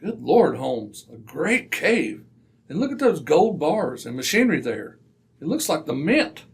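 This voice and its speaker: An actor as a bumbling, earnest gentleman sidekick in a radio mystery. His voice is gravelly and a touch high, swinging up and down in pitch. He speaks in old-fashioned exclamations with some hesitancy. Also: sing-song, a little slow. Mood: astonished. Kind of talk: old-time radio drama acting.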